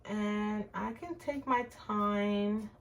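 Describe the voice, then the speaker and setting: A woman's voice: medium pitch, extremely slow, a little hiss or hum. Chatty Puerto Rican woman crafting. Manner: chatty, narrates her hands-on work to viewers. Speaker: crafts channel host. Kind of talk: casual crafting tutorial.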